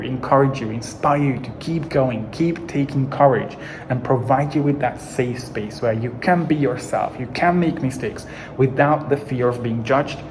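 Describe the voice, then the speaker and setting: A man's voice: mid-range voice, a little mellow, slight background noise, low-quality recording. A young adult man from Hungary with a Hungarian accent, speaking English as a second language, giving an earnest, encouraging teaching monologue as an English coach.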